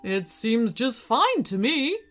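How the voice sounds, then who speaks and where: A woman puts on a breezy male schoolteacher from a storybook, mysterious and unbothered. The voice is bright, lively and unhurried. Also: on the high side, faint music behind, heavily acted. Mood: breezy, innocent.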